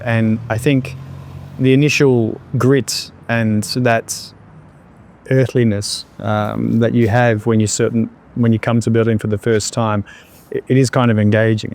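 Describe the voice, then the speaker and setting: A man, answering interview questions in a reflective, unhurried way with pauses and fillers. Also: fairly low pitch, steady tone, gravelly, darkish tone, a little hiss or hum. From Australia. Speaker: entrepreneur guest.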